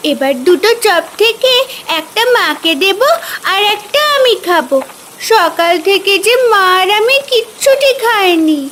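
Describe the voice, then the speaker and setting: A tiny, innocent cartoon village girl. The voice is extremely high, childlike and earnest. A faint noise runs behind it. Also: lively intonation, unhurried, a bit bright, exaggerated voice. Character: poor little village girl, innocent, tearful, grateful.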